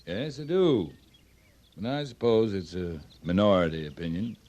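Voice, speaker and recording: An actor playing a drily humorous frontier cavalry captain in a radio western, with an understated, folksy, deadpan manner. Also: male voice, fairly low pitch, normal speed, natural voice. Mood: deadpan, respectful. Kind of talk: 1950s radio western acting.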